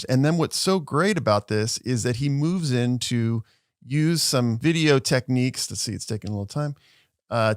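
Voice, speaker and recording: A man's voice: medium pitch, dry recording, studio quality. A man who works as a social media lawyer, giving an upbeat explainer and walking viewers through video clips.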